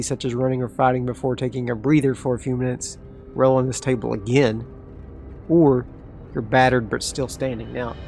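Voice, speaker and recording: Casting note: a man with a gravelly voice, narrating a solo dice game with excitable asides, faint music behind. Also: medium pitch. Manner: talks through dice rolls, excitable asides. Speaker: solo tabletop game player. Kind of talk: solo actual play, thinking aloud.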